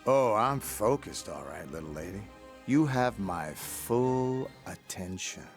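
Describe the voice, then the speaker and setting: An actor playing a cocky, flirtatious playboy superhero, self-assured, in a comedic game scene. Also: male voice, on the low side, animated, slow pace, faint music behind. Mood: flirty. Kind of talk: comedic game cutscene acting.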